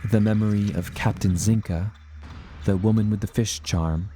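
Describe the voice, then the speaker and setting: A man acts out an earnest adventurer looking back on his journeys for an audio drama. The voice sits very low and has a soft, mellow tone. He tells the story in a measured, literary, reflective way. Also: steady tone, slight background noise, lightly acted. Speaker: narrating character. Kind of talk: scripted first-person audio drama narration.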